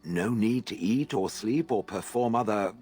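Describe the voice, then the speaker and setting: A man's voice: on the low side, unhurried, a little mellow, slightly rough, faint music behind. A man voicing a haughty, sardonic stone golem in a game, talking down with dry mockery. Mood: disdainful. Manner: dry, condescending, mocking. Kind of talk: game dialogue.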